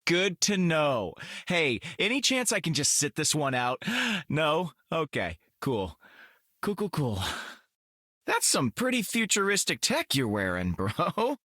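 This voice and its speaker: An actor playing a cocky, wisecracking young space outlaw in a video game, flippant, slangy and sarcastic. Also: male voice, on the high side, huge pitch swings, takes it slowly, pushed and bright, no room sound, clean studio sound, full character voice. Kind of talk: video game character lines.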